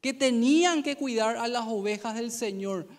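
High-voiced Paraguayan preacher in a hall. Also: male voice, moderate pace. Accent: Paraguayan Spanish. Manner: conversational storytelling, acting out dialogue. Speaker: youth service preacher. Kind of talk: sermon. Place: large stage in an auditorium. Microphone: handheld mic. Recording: long hall reverb.

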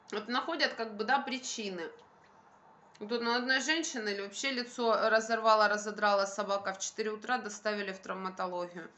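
A young woman with a fairly high, very bright and forceful voice talks to her phone at home. She vlogs in a casual, colloquial style, stressing her points as she airs her grievances. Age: young adult. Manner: emphatic, colloquial venting. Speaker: vlogger, stay-at-home mother. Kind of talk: casual chat to camera. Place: bright room at home by a window, indoors. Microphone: phone at arm's length.

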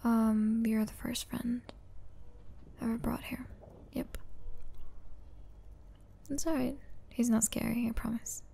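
A woman playing a hesitant, apologetic young student and caring sister in a breathy, whispery ASMR roleplay. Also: fairly high pitch, fairly even intonation, mellow, a little hiss or hum. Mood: gentle, nervous. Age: young adult. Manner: halting, hesitant. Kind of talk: soft-spoken ASMR roleplay.

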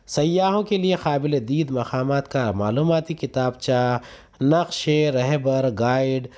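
A man's voice: mid-range voice, mellow. A man, a school teacher reading textbook questions and answers aloud in an instructional way, point by point. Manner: instructional, announcing numbered points.

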